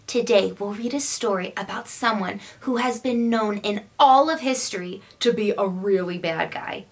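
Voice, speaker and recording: A woman kindergarten teacher with an enthusiastic manner, introducing story time for children. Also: on the high side. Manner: warm, enthusiastic teacher talk.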